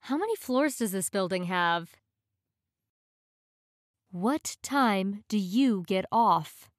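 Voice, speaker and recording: A woman reading short English practice phrases in a clear, measured way, each one said twice. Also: mid-range voice, expressive, dry recording, studio quality.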